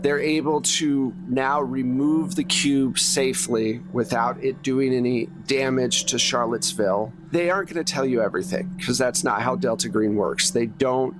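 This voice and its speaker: A man running a tabletop horror game, improvising the narration in short phrases with pauses between them. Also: mid-range voice. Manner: pausing, piecing out sentences in short phrases. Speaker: game master of a tabletop actual play. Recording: music playing under the voice. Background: music.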